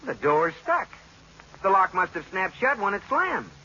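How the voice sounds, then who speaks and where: An actor playing a boyish, easily flustered American husband in an old radio sitcom, emphatic and exclamatory. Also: male voice, sits high, very animated, a little slow, bright, forceful tone, lo-fi sound, heavily acted. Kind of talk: 1940s-50s radio sitcom acting. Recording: noisy, band-limited old radio recording.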